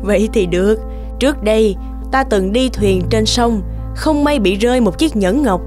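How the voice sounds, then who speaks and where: A woman's voice: on the high side, normal speed, darkish tone, soft background music, close to an everyday voice. A woman voicing a wicked, scheming queen in a fairy-tale cartoon, haughty and commanding. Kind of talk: animated fairy-tale dubbing.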